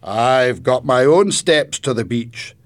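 A male narrator plays an old grandfather from a storybook, kindly and proud with a touch of sadness. His delivery is emphatic and deliberate, with lively rises in pitch. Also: close to an everyday voice. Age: older. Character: kindly older Scottish grandfather, proud and a little sad.

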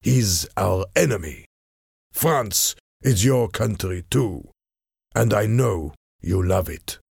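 A man acts out a cunning French ambassador in his forties for a storybook. He is cold and smooth-tongued, drawing his words out slowly in a low, creaky voice with quiet menace. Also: lively intonation, dry recording, studio quality, exaggerated voice. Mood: intense, hateful.

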